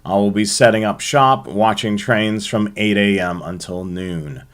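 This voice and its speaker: An American man hosting a hobby show, presenting to viewers in a halting way with frequent ums and uhs. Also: fairly low pitch, fairly bright tone.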